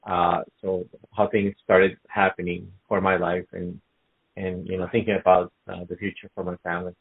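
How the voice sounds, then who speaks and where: A middle-aged man from Colombia, a business guest in a casual interview, speaking haltingly with many fillers and restarts. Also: deep voice, flat delivery, gravelly, breathy edge. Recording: phone-like narrow sound.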